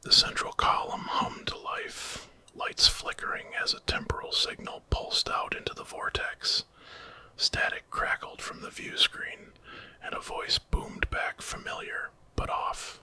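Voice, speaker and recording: Man narrating in a bright breathy whisper. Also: unhurried. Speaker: storyteller. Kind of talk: whispered ASMR horror story narration.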